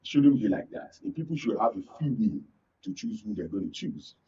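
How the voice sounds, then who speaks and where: Man, Nigerian accent, low husky voice, halting political panel talk, repeated words, dull distant recording. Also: very animated, dark tone. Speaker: studio panel guest. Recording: dull, slightly distant studio sound.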